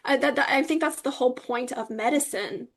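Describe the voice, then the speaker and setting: A woman's voice: high-pitched, fairly quick. A young adult woman speaking candidly and conversationally as an interview guest.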